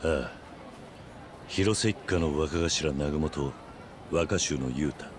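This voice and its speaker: An actor playing a stoic middle-aged yakuza veteran in a video game, terse, measured and calmly commanding. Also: male voice, extremely low pitch, noisy background. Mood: matter-of-fact. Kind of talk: Japanese video game voice acting.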